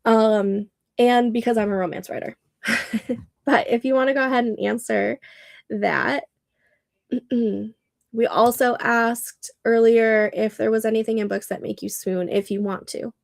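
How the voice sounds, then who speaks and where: A woman's voice: fairly high pitch, steady tone, measured pace, gravelly, dry recording, studio quality. A woman in her thirties hosting a casual livestream, sounding nasal and congested, chatting and reading comments aloud with frequent laughs. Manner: chatty, laughs often, reads chat aloud. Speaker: livestream host.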